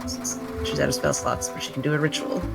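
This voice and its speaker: A man's voice: medium pitch, sing-song, a little fast, creaky, loud music behind the voice. A man playing in a tabletop roleplaying game, describing and speaking for a character. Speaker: player.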